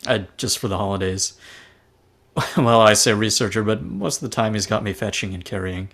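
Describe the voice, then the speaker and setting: Man reading modest young student, lowish voice. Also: moderate pace, gravelly, fairly bright tone. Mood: friendly, modest. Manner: chatty, self-deprecating. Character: eager, modest young female student researcher. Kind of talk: fan table read of sci-fi drama.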